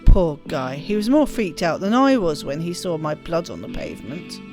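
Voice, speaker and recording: A woman's voice: sits low, very animated, normal speed, music in the background. A woman voicing a rebellious, sardonic teenage girl telling her story in a game. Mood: wry recollection. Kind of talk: first-person story reading in a game.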